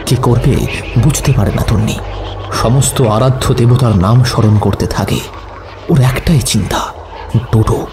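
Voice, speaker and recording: A man's voice: medium pitch, unhurried, pauses often. A man narrating a scripted horror story with suspenseful, dramatic phrasing. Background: music.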